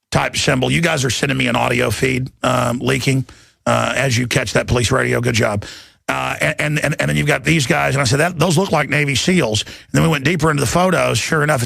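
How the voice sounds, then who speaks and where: A man's voice: mid-range voice, a bit bright, no room sound, clean studio sound. A man hosting a talk radio show, ranting off the cuff in an agitated, emphatic, rambling way, often repeating words. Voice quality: gravelly. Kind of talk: impassioned unscripted talk radio rant. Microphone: close studio broadcast microphone.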